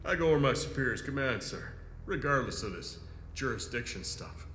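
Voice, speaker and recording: Stiff loyal space military chief, deferential, bit hesitant, sharp voice, echoey, faint noise. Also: male voice, medium pitch, very animated, moderate pace. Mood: uncertain. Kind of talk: sci-fi audio drama acting.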